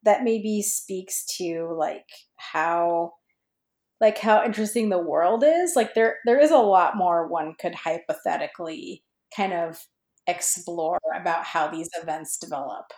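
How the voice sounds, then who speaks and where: A woman's voice: on the low side, measured pace, darkish tone. A woman guest on a podcast, a fan fiction writer, talking casually and explaining things in an informal way. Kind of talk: casual discussion. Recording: roomy, echoey sound.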